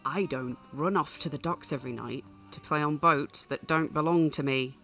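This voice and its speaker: A man's voice: a little high, moderate pace, bright, forceful tone, soft background music. A man playing a stern, studious young student with a curt, disdainful manner in an audio drama. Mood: scornful. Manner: curt, scornful.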